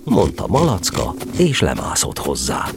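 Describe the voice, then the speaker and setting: A man's voice: very deep voice, huge pitch swings, vocal fry, noisy background. A man narrating a storybook audio drama, telling the tale expressively.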